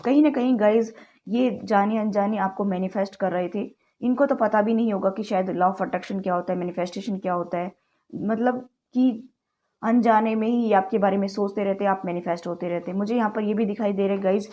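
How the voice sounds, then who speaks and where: Woman, tarot reader, chatty direct-to-camera style, warm tone, sore throat. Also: mid-range voice, steady tone, a little fast. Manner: chatty, keeps addressing viewers as "guys"; mixes English words into Hindi.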